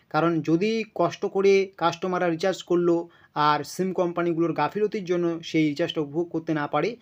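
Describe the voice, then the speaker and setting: A man presents an informal news explainer, speaking emphatically, repeating himself and checking in with his listeners. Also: high-pitched. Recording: dull lo-fi sound.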